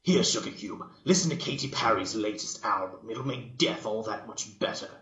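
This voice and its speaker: Man voicing a folksy, forceful country cartoon mare. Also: lowish voice, moderate pace, reverberant, highs cut off. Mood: folksy, frank. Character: folksy, matter-of-fact country female cartoon pony.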